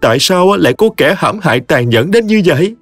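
A man voicing a grieving young woman, pleading in a high, strained, tearful voice. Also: very animated, brisk, dry recording, faint music behind. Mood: anguished.

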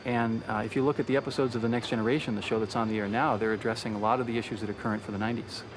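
A man's voice: on the low side, steady tone, very fast. A man in his thirties giving an earnest, articulate explanation in an interview. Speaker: convention attendee. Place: large indoor convention arena. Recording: noisy convention hall background.

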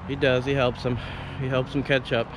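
A man's voice: mid-range voice, level tone, moderate pace, background noise. A man in his thirties, an arborist, giving a casual, rambling gear review from up a tree outdoors on a head-mounted camera, full of fillers and restarts. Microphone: head-mounted action camera.

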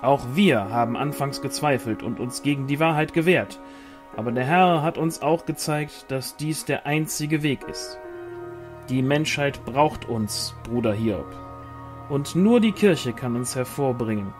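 A man's voice: mid-range voice, measured pace, over music, lightly acted. A man voicing a zealous leader of a fanatical angel order, solemn and preaching, in an audiobook with a German accent. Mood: solemn conviction.

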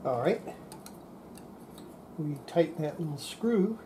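An older man giving an unscripted hands-on repair demonstration, halting and muttering to himself as he works at a bench. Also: fairly high pitch, lively intonation, dark tone, slight background noise. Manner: halting, muttering to self while working. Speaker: radio repair hobbyist, presenter. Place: indoor workbench.

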